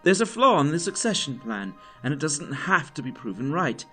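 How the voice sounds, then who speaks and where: A man playing a loyal, earnest, guilt-ridden gentleman in an audio drama, speaking formally and with strong emotion. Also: a little high, very animated, moderate pace. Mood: pleading. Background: music.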